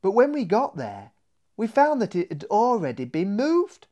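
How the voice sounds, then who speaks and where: A man's voice: high voice, huge pitch swings, measured pace, darkish tone, voice pushed up, heavily acted. A man voicing an earnest woman telling of a startling discovery in a children's storybook, in a breathless storytelling manner. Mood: startled, excited.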